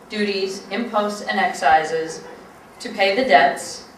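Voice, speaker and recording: A woman with a medium-pitched voice reads a formal document aloud, some way from the mic in an echoing room. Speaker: guest reader. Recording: echoey room, distant, faint noise.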